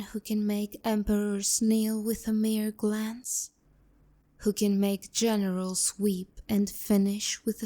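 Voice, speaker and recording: A woman's voice: medium pitch, warm tone, lightly acted. A woman playing a haughty, seductive demon courtesan in a romantic ASMR roleplay, speaking to the listener with condescending, teasing bite. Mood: smug, seductive. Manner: condescending, teasing, biting insults.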